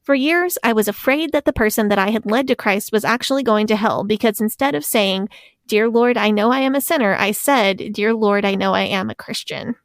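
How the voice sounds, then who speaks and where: A woman's voice: medium pitch, a little fast, no echo. Chatty woman podcast host, dry close mic. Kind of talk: casual podcast chat.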